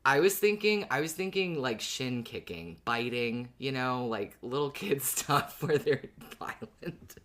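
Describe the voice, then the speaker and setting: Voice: medium pitch, lively intonation, measured pace, few pauses, a bit bright. A podcast co-host in casual fan discussion, talking in a joking, playful and sweary way.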